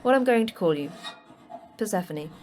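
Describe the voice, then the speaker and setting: A woman playing a terse, teasing manager of a secret monster facility in a fiction podcast, with a brisk, dry, flippant delivery. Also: medium pitch, animated, a little mellow, close to an everyday voice. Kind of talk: audio fiction podcast acting.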